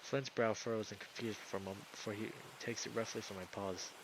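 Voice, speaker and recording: A man's voice: on the low side, fairly even intonation, quick pace, noisy background. A man reading a visual novel aloud as a streamer, in dramatic first-person narration.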